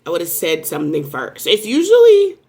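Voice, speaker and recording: A woman giving a casual, rambling tarot reading to viewers, talking in a strong voice that jumps into sudden high exclamations. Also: huge pitch swings, a little fast, vocal fry, mellow. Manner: self-described strong voice. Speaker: tarot reader.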